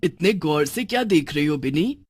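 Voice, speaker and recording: A woman voicing a loving witch mother, speaking gently but firmly in a children's cartoon story. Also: sits low, lively intonation, normal speed, slightly rough, dry recording, clean studio sound, voice pushed down. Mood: tender. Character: loving, protective witch mother with magic powers.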